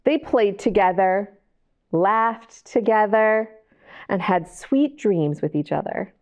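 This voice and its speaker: A woman hosting storytime, reading a picture book to young children in an animated way, with chatty questions and asides to the listeners. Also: medium pitch, slow pace, dull audio. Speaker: library storytime host and reader.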